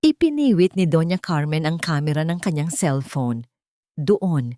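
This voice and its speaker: A woman's voice: sits low, mellow, no echo, clean studio sound. A woman narrator reading a scripted story in a dramatic style.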